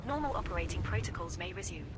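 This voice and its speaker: A woman's voice: lively intonation, quick pace, crowd noise, close to an everyday voice. A woman voicing a courteous, formal space station announcer in a game. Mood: formal, approving. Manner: formal, official. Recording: very noisy, vehicle rumble behind.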